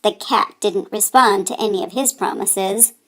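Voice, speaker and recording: A woman reading a children's story aloud with deliberate, dramatic emphasis. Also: mid-range voice. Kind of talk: expressive read-aloud narration for children.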